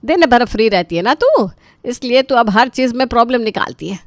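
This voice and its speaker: A woman's voice: fairly high pitch, expressive, brisk, no echo, studio quality, pitched above the performer's own voice. A woman voicing an exasperated, put-upon middle-aged mother-in-law in a story, complaining dramatically.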